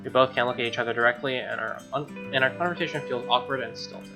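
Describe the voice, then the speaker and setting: A man's voice: mid-range voice, fairly even intonation, fast, vocal fry. A man hosting a game stream, chatting casually and reading narration aloud, with muttered offhand asides. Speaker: streamer, let's play host. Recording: music playing behind voice. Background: game music.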